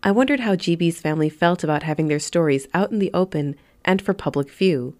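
A woman interviewer reading scripted narration in a measured, composed way. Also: on the low side, a little mellow.

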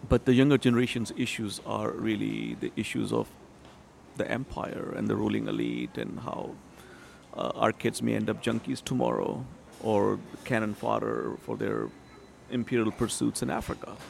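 A man's voice: on the low side, very animated, normal speed, vocal fry, darkish tone, slight background noise. A middle-aged man from Pakistan, a writer and translator giving discursive interview answers with many fillers. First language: Urdu or Punjabi.

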